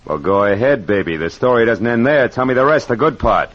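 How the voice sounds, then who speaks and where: An American actor playing a tough, wisecracking private detective in old radio drama, speaking in clipped, sardonic slang. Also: male voice, medium pitch, expressive, a little fast, close to an everyday voice. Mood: tough, menacing. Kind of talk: 1940s-50s radio drama acting, hard-boiled narration. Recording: dull noisy vintage radio recording.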